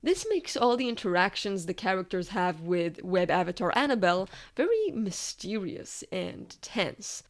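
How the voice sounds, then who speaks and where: A woman reading a scripted, analytical video essay as its narrator. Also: mid-range voice.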